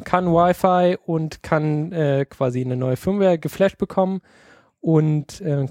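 A man's voice: a little high, dark tone, no echo. Slangy man on a dry podcast mic. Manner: loose and slangy, swears casually. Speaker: podcast co-host. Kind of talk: casual tech chat.

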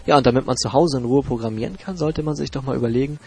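A man's voice: medium pitch, very fast, vocal fry, a little mellow. A man with a stuffy cold hosting a podcast in a chatty, jokey way with loose fillers. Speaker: podcast host. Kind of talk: casual podcast presenting. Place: home in Hamburg.

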